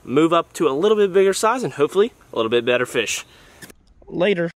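A man's voice: fairly high pitch, big pitch swings, quick pace, a little hiss or hum. A young man, chatting upbeat to viewers while fishing from a small boat outdoors, recorded on an action camera. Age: young adult. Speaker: fishing vlogger. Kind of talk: casual vlog commentary.

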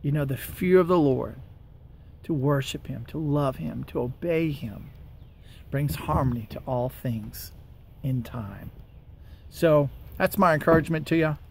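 A man's voice: a little high, measured pace, vocal fry. An American man in his forties giving casual, earnest encouragement to the camera, speaking conversationally outdoors into a handheld device. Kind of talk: casual devotional talk to camera. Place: outdoors by a wooded parking lot. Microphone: camera or phone at arm's length. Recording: outdoor ambient noise.

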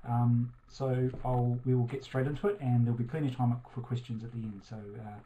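A man's voice: medium pitch, flat delivery, fairly quick. A man hosting an online talk session, introducing speakers with many ums and uhs. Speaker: event host. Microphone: webcam on a video call. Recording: tinny call audio with faint noise.

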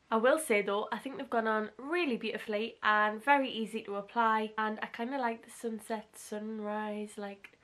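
A young woman in her twenties with a husky voice, chatting casually through a makeup tutorial in a small bedroom, gushing over products. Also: medium pitch, a bit bright. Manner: chatty and gushing, heavy use of "like". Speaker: beauty vlogger.

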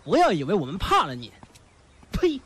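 An actor playing a hostile, scornful student bully in a radio drama. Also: male voice, high voice, wildly swooping pitch, normal speed, a bit bright, breathy edge, full character voice.

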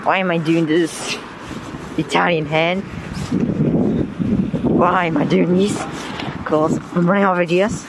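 Young man, German accent, unhurried rambling vlogger; phone recording on a noisy street. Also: high voice, fairly even intonation. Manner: rambling, self-deprecating, swears casually. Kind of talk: casual walking vlog. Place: outdoors on streets and on a bus. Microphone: phone held while walking.

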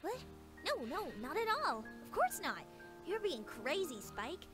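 A woman's voice: high-pitched, sing-song, measured pace, over music. A woman voicing an anxious, bookish young unicorn pony in a cartoon, flustered and hesitant. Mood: flustered, uneasy. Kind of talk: animated fan drama acting.

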